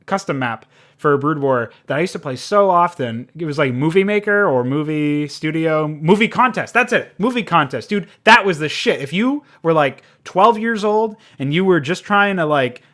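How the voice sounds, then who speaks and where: Rambling, higher-voiced male game reviewer. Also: lively intonation, normal speed, fairly bright tone. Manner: rambling, informal, frequent swearing. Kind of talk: casual unscripted spoken review.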